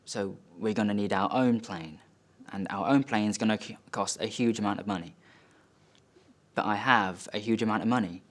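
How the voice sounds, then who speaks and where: A male actor plays a wealthy son, wounded and bitter, in a dramatic stage monologue. His voice sits on the low side. He tells his story in short, emphatic phrases with halting breaks between them. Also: close to an everyday voice. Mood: tense, subdued. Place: studio.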